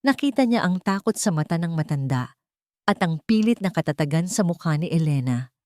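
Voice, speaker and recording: A woman narrates a dramatic love story from a script. Her voice is low and highly animated in pitch. The recording is close and dry, with no room sound. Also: a little fast, dry recording.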